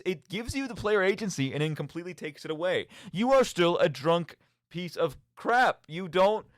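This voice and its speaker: Young male radio host, lively swooping delivery, dry close sound, casual and jokey rambling. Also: on the high side, no echo. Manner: rambling, jokey, self-deprecating. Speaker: radio show and podcast host. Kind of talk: casual solo commentary on video games.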